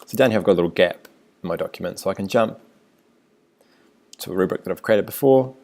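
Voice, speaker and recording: A man with a New Zealand accent, a teacher talking through a screencast tutorial in a relaxed, thinking-aloud way. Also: low-pitched, quick pace.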